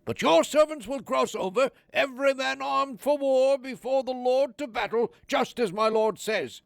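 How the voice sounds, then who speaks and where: A deferential tribesman, pledges obedience to his lord in a very high, gravelly voice. The delivery is declaratory radio theatre, recorded close and dry. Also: moderate pace, dry recording. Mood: obedient, eager. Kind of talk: dramatized audio Bible acting.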